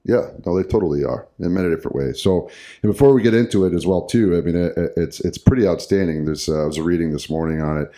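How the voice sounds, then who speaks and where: Very deep-voiced rambling man. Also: fast talker, warm tone. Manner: rambling, many fillers and restarts. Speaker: podcast co-host, hockey coach. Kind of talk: casual podcast chat.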